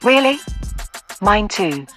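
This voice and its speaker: A woman's voice: medium pitch, very animated, slow pace, fairly bright tone, soft background music. A woman playing a seductive, brazen robber in an audio play. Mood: coy, flirtatious. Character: seductive, brazen female robber posing as customer.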